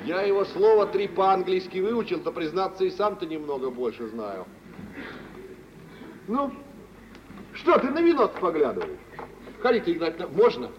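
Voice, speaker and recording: High, swooping jovial merchant prankster. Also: male voice, big pitch swings, moderate pace, pauses often, far from the mic. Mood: teasing. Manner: theatrical, playfully ceremonious. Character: jovial, worldly merchant prankster, playful and showy. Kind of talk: 1940s Russian stage comedy acting for radio. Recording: noisy, muffled mid-century radio play recording.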